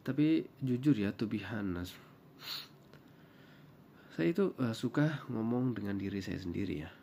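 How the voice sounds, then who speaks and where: A man's voice: on the low side, expressive, measured pace, creaky, mellow, a little hiss or hum. A man with a Javanese accent giving casual vlog tips, switching between English and Indonesian with many hesitant fillers. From Java, Indonesia. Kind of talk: casual commentary.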